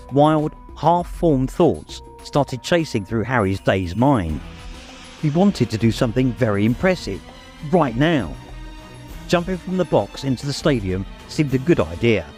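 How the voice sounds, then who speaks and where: A man reading a story aloud as dramatic scripted narration, expressive and suspenseful. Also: mid-range voice, a little slow. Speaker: audiobook reader. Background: music, immersive production.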